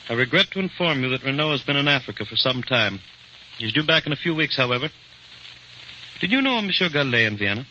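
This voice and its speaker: A man's voice: mid-range voice, very animated, fast talker, bright, forceful tone. A man acting a suave, relentless French police interrogator in an old radio drama, questioning coolly and sardonically. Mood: cool, probing. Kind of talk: 1930s-40s radio acting. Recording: muffled, hissy old radio recording.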